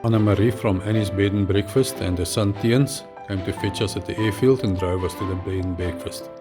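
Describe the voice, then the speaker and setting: A man reading a measured, factual travel voice-over as a pilot narrator. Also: on the low side, steady tone. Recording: voice-over with music behind.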